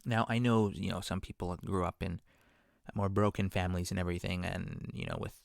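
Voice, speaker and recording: A man speaks in a casual podcast monologue, wandering from point to point with plenty of asides. His voice sits on the low side and has a gravelly, fry-tinged texture. The sound is dry, with no room in it. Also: flat delivery, brisk, dry recording. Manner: rambling, self-deprecating; conversational, many fillers and asides.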